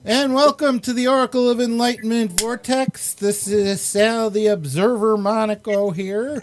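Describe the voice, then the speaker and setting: A man's voice: high-pitched, fairly even intonation, unhurried, slight background noise. A man hosting a live online show, chatting casually, laughing often and using many fillers, over a computer mic on a video call. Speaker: host.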